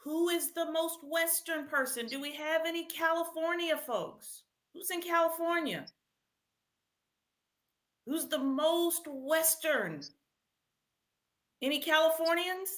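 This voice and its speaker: A woman hosting a live read-aloud quiz for children in an animated, encouraging way, calling out to participants and repeating questions. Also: extremely high pitch, slow pace, long pauses.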